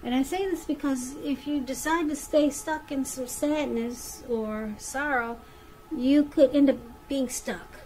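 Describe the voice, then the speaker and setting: A woman's voice: sits high, unhurried, a little mellow, slight background noise, low-quality recording. A woman giving a conversational tarot reading straight to the listener, repeating phrases for emphasis. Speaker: tarot reader.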